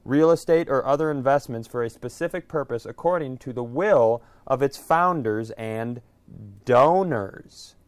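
A man's voice: mid-range voice, measured pace, clean tone, no room sound. A man bantering casually as a comedy podcast host, with a crude, sardonic way of riffing.

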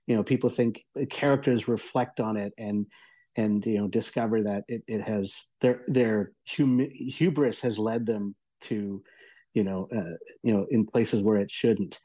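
A man with a mellow, relaxed voice talks as a guest reviewer. His delivery is conversational and halting, doubling back on words to stress his points. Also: fairly low pitch, husky.

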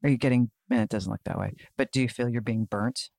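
A woman co-hosts a podcast in relaxed chat, teasing and agreeable. Her voice sits mid-range and the sound is dry and close. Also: rumbling low, fast, creaky, no room sound. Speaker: podcast co-host, actress. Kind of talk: casual chat.

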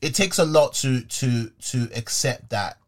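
A British man co-hosts in casual, chatty style. His pitch is mid-range but swings about in a very animated way, and he repeats words for emphasis. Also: a little slow. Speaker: podcast co-host. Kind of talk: casual chat.

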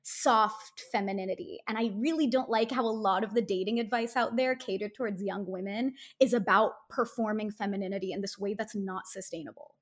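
A young woman, an actress, speaking as a guest in a casual interview, loud, outspoken and joking. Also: mid-range voice, brisk, few pauses.

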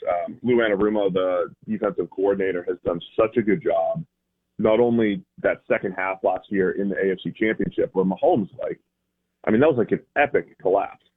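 American guy talking football analysis, kinda raspy, thin phone line sound, casual and rewording stuff. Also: on the low side. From Boston area, United States. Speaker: football analyst, radio guest. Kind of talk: conversational sports analysis. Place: remote call into a radio show.

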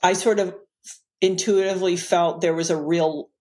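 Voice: mid-range voice, fairly even intonation, normal speed, a little mellow. Hesitant, thoughtful podcast speaker. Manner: thoughtful, hesitant, searching for words. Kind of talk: casual conversation.

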